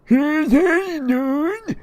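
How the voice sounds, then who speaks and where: A man voicing a loud, belligerent, fearless teenage boy in a comic story, shrill, strained and yelling profanely. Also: drawn-out words, exaggerated voice. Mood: excited yelling.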